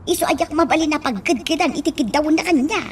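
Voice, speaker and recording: A woman's voice: sits high, fast talker, far from the mic, a little hiss or hum, natural voice. A woman playing a coy young household worker in an Ilocano radio comedy drama. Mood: coy, pleading.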